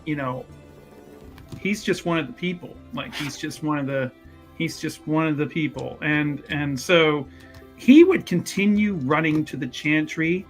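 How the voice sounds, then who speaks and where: A man's voice: a little high, a bit bright. A man talking casually as a player in a tabletop roleplaying game, explaining his character's moves.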